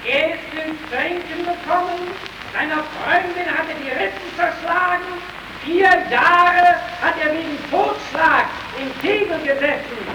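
A solemn female storyteller in an old radio drama. She declaims in a high voice. The recording is echoey, distant and noisy. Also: a little slow. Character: solemn storyteller announcing a street hawker's fate.

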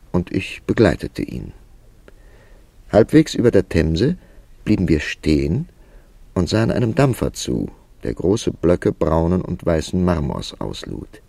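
An actor playing an inquisitive literary narrator in a German radio play, speaking in a measured storytelling manner. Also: male voice, deep voice, gravelly, warm tone, no room sound, exaggerated voice. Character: male literary narrator, inquisitive confidant of a young clerk.

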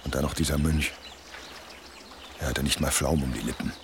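An actor playing a world-weary medieval villager in a radio drama, speaking in a dry, resigned way. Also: male voice, extremely low pitch, fairly even intonation, moderate pace, background noise. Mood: gloomy. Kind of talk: German radio drama acting.

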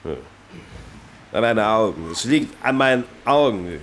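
A man's voice: lowish voice, lively intonation, measured pace, fairly bright tone, a little hiss or hum. An actor playing a mocking male army sergeant who barks and sneers in a radio play. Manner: sneering, barking.

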